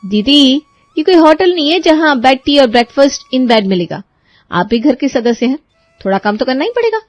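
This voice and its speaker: A woman voicing a bossy, sharp-tongued young bride in a cartoon story, commanding and sarcastic. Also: high-pitched, very animated, moderate pace, dry recording, pitched above the performer's own voice. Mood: firm.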